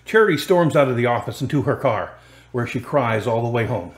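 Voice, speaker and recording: A man's voice: mid-range voice, animated, a little fast. A man reading scripted narration for a radio drama as its narrator. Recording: music under the narration. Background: music.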